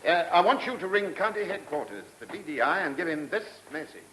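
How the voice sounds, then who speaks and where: An actor in a vintage radio play as a police chief inspector, questioning insistently and giving orders with authority. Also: male voice, on the high side, expressive, a little fast, natural voice. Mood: brisk, commanding. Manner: insistent, probing questioning, commanding. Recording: old radio recording with faint noise.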